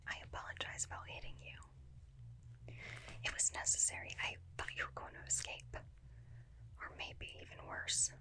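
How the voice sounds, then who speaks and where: A woman playing an obsessed, bossy yet caring vampire slayer in a hushed ASMR roleplay, coaxing a captive listener up close into a binaural microphone. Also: a little slow, pauses often, close to an everyday voice. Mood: wry, controlling.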